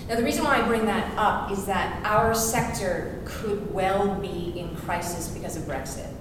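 A French American actress and voiceover artist giving a punchy, rhetorical, self-mocking speech at a forum. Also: female voice, mid-range voice, moderate pace. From France and the United States. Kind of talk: public speech. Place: large event hall. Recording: echoey hall from far off, noisy.

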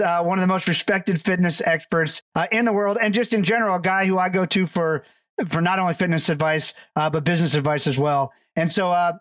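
High-voiced man hosting a podcast. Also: fairly bright tone, dry recording, far from the mic. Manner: rambling, friendly storytelling with fillers. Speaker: podcast host. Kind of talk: podcast interview.

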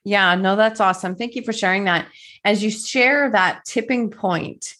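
A woman's voice: mid-range voice, moderate pace. Encouraging woman interview host. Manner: warm and encouraging, affirming the guest. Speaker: podcast host. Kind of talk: interview hosting.

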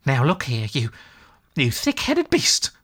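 An excitable male water rat shrieks his cries in a high, shrill voice, as a man puts him on for a storybook. His delivery leaps up and down with impatient energy. Eager and bossy, he keeps urging his friend on. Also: normal speed, gravelly, heavily acted. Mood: exasperated. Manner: impatient, triumphant exclamations.

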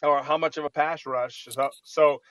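A man's voice: on the high side, fairly even intonation, normal speed, a bit bright, no room sound. A man hosting a sports talk segment, chatting casually about a football team and often repeating words as he starts a thought. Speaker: radio sports host.

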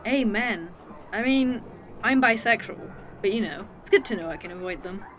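A woman's voice: medium pitch, expressive, soft background music, lightly acted. An actress playing a snarky, teasing woman time traveler with a fake arm in an indie audio drama. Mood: wry. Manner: dry, teasing, quick-witted.

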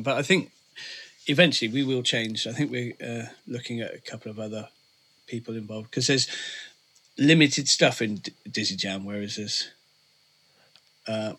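A man's voice: medium pitch, normal speed, bright, forceful tone, husky. A man who is a guest on a casual podcast, talking loosely, laughing often and trailing off, recorded on a large condenser studio microphone. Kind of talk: casual chat. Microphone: large condenser studio mic.

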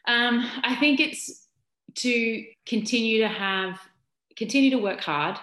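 A middle-aged Australian woman from Newcastle, a former basketball player, answering interview questions in a chatty, animated way with lots of ums and restarts. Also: on the high side, takes it slowly. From Newcastle, New South Wales, Australia. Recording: echoey room.

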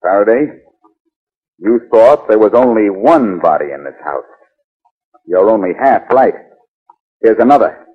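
An actor playing a brash, glib amateur detective under suspicion, in 1940s radio drama style. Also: male voice, on the low side, expressive, measured pace, pauses often, slightly rough. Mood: sly, teasing. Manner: wry, glib, wisecracking. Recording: muffled old 1940s radio recording.

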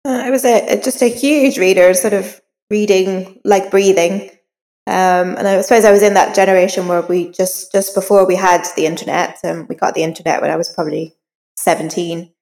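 A woman's voice: medium pitch, fairly even intonation, moderate pace, darkish tone, in a large hall. A woman in her forties with a Northern Irish accent, chatting casually as an interview guest, slightly congested from a cold. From rural Northern Ireland. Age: 40s. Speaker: crime novelist, interview guest.

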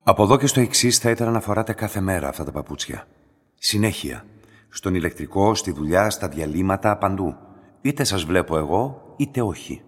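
A controlling laboratory director in a radio play, with a very low, slightly husky voice in a long reverb. He is eerily courteous, formal and deliberate, and he insists without dropping his politeness. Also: lively intonation. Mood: commanding, controlled. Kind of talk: radio drama acting.

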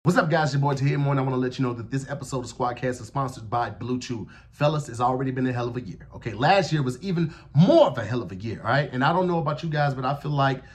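A man hosting a podcast, doing an energetic, salesy sponsor read. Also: medium pitch, fast talker, few pauses. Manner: hyped, salesy. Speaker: podcast host reading an ad.

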